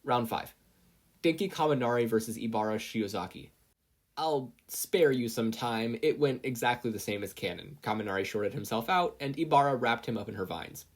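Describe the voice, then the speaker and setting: A narrator with a husky voice reading fan fiction as scripted audiobook-style narration. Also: mid-range voice, moderate pace.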